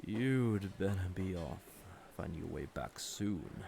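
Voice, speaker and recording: An actor playing a haughty, sardonic villain commander in a roleplay audio drama, condescending and drawling, with mock-sweet menace. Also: male voice, deep voice, expressive, takes it slowly, gravelly, mellow, a little hiss or hum, full character voice. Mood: mocking, menacing.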